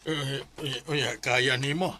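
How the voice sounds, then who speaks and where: An actor playing a middle-aged, weary shopkeeper father who speaks gently and hesitantly to his son in a radio drama. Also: mid-range voice, steady tone, takes it slowly.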